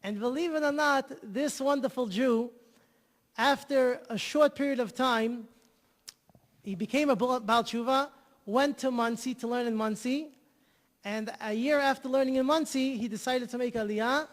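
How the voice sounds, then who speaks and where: A man's voice: extremely high pitch, normal speed, big-room sound. A man telling an enthusiastic story as a guest on an interview show, often repeating himself. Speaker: guest, charity organizer.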